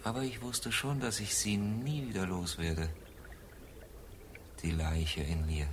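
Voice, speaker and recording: An actor playing a melancholy, morbidly confessional man in a literary radio drama monologue, speaking in deliberate, measured phrases with pauses. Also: lowish voice, fairly even intonation, slight background noise. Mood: brooding, resigned.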